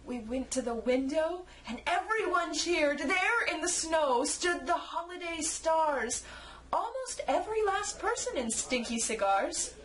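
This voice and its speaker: Female storybook narrator, high pitch, unhurried delivery, theatrical with rhymed verse. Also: lively intonation, fairly bright tone, a little hiss or hum, low-quality recording. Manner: theatrical, acts out character lines. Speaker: narrator reading a children's storybook.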